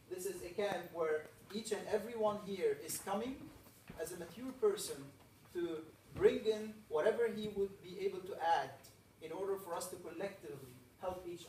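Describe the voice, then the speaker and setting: A man's voice: high-pitched, darkish tone, slight background noise. A man from Egypt giving an earnest, rallying opening talk to a camp audience, building up emphatic lists. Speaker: camp speaker. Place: camp meeting hall. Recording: echoey room, voice from a distance.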